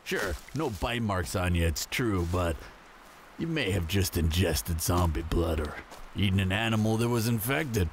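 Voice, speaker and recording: A man's voice: deep voice, expressive, unhurried, a little hiss or hum. A man playing a menacing, suspicious survivor and villain in an audio roleplay, talking in a taunting, condescending way. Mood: condescending, threatening.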